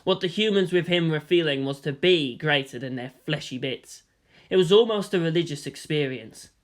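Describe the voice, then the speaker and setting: A narrator giving a dramatic, expressive reading of scripted fan fiction. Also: medium pitch, moderate pace. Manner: expressive, theatrical.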